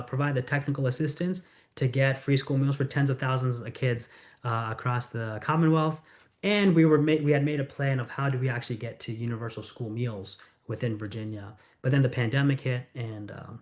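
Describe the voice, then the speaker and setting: A middle-aged man from the United States, a congressional candidate answering interview questions in an earnest, storytelling way. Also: medium pitch. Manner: earnest, storytelling, quick asides.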